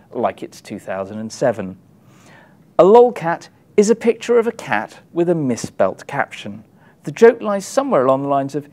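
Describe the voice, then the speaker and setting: A man in his thirties giving an expressive, wry reading from his book, with emphatic delivery and dramatic pauses. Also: mid-range voice. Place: small indoor room, publisher's backdrop. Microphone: lapel mic.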